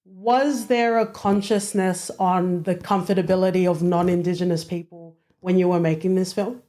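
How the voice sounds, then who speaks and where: A woman's voice: on the low side, steady tone, moderate pace, dark tone. A woman hosting a podcast, asking thoughtful questions in a chatty way with many fillers. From Australia. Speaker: podcast host. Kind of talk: casual interview questions.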